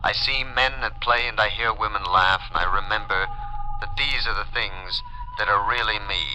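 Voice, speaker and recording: An actor playing an ardent, restless young suitor, earnest and pleading, in 1940s radio drama style. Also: male voice, lowish voice, moderate pace, pushed and bright. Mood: regretful. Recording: dull vintage radio recording with faint music.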